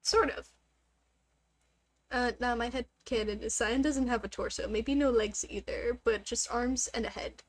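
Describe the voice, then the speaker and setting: A woman's voice: a little high, a little slow. A woman livestreaming a game, chatting casually with viewers in a joking, self-deprecating way. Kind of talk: casual rambling chat with viewers.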